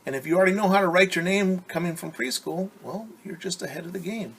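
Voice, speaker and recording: A man with a slightly rough, husky voice leads storytime as a preschool teacher. He reads short lines of a picture book, then explains them in simple, instructive phrases meant for small children. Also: high-pitched, fast talker, fairly bright tone, rough recording. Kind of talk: read-aloud storytime for young children, with explanations.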